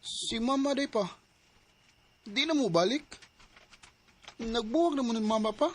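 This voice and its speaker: A man's voice: very high-pitched, unhurried. A man playing a young boy who misses his mother, asking plaintive questions in an audio drama. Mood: pleading. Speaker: son. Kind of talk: Cebuano audio drama acting.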